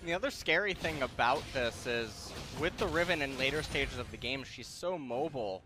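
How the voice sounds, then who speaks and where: A man's voice: medium pitch, animated, normal speed, background noise. A man working as an esports analyst commentator, explaining the game and bantering casually.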